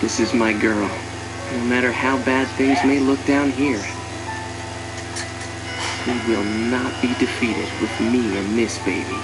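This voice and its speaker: An actor playing a loyal, confident soldier who narrates a war game in a plain-spoken, assured and fond way. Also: male voice, mid-range voice, unhurried, long pauses, close to an everyday voice, poor audio. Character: loyal, confident male soldier proud of his crew. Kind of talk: scripted game cutscene narration. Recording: loud music behind the voice.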